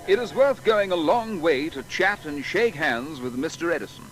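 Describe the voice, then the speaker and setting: An actor playing an admiring journalist of a past era, reading a eulogy in an earnest, literary manner. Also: male voice, a little high, expressive, moderate pace, over music, natural voice, rough recording. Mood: earnest admiration. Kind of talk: dramatised reading of a written eulogy.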